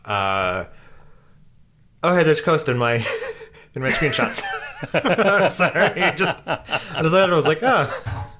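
Excitable giggly male podcast co-host. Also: fairly high pitch, slow, long pauses. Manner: enthusiastic, giggly, many fillers and restarts. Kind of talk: casual fan chat recapping an episode.

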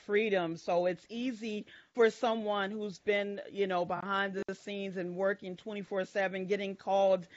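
A woman's voice: mid-range voice, no echo. A woman entrepreneur giving earnest advice on a panel, leaning on fillers as she talks. The video call audio is dry and a bit dull. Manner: earnest, many fillers like you know.

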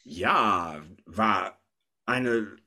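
A man's voice: fairly low pitch, drawn-out words, pushed and bright. A man hosting a podcast, chatting casually and informally about pop culture with his co-hosts. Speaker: podcast host.

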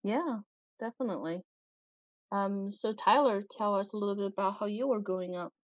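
A woman's voice: medium pitch, normal speed, no echo. A woman co-hosting a podcast, asking encouraging follow-up questions. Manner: encouraging, asks follow-ups. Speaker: podcast co-host. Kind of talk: casual interviewing.

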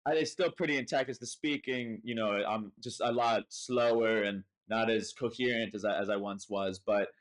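A man's voice: lowish voice, steady tone, moderate pace, few pauses. A young man with an American accent hosting a podcast in an informal, rambling, conversational way. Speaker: podcast host. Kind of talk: casual chat.

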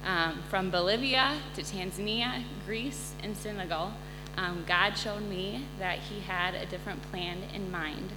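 A woman missionary giving an earnest personal testimony to an audience, halting often with pauses. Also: medium pitch. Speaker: missionary and incoming resident assistant. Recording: echoey hall, loud electrical hum.

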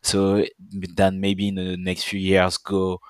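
A young man with a French accent, a guest on a business podcast, speaking in deliberate, halting second-language phrasing. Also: low-pitched, monotone, smooth, dry recording, clean studio sound. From French Caribbean island. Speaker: guest, partner account manager.

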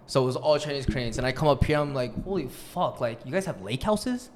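A young man from New York talking in a loose, laid-back way as a podcast host, with jokes and casual swearing. His voice sits at an ordinary pitch. A faint noise runs under the recording. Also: expressive, fairly quick. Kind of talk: casual chat.

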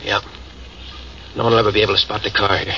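A battered, weakened ex-con who answers in quiet, terse, guarded words in an old radio crime drama, as a man plays him. He sounds distant and pained. A loud hiss runs under the dull old recording. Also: lowish voice, flat delivery, fast talker, close to an everyday voice. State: weak and in pain. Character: battered male ex-con Steve, weak, cunning. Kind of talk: 1940s radio acting.

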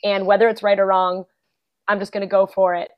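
A young adult woman, a guest actor and playwright, chatting casually in an interview, full of fillers and quick laughs. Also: medium pitch, little pitch movement. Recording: dull lo-fi podcast audio.

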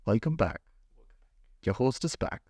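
A man's voice: medium pitch, big pitch swings, unhurried, a little mellow, breathy edge, no echo, clean studio sound. A young man with an Indian accent hosting a casual podcast chat, playful and teasing. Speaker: podcast host.

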